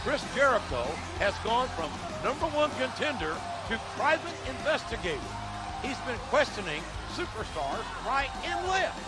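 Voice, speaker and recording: Excitable high-pitched wrestling announcer. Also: male voice, normal speed. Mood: excited, urgent. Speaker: wrestling play-by-play commentator. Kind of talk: excited sports broadcast commentary. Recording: voice over loud background music.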